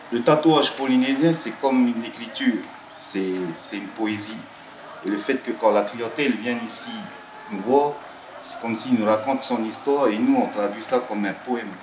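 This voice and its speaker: A tattoo artist from Tahiti, talking about his work in a halting way, with many restarts and fillers. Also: lowish voice, roomy sound, background noise. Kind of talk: talking-head interview.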